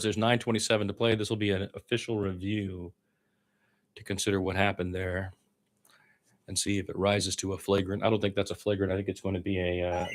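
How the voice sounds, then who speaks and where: A man's voice: sits low, fairly bright tone. A man giving informal radio-style basketball play-by-play, with blunt, conversational and opinionated asides. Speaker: play-by-play sports commentator.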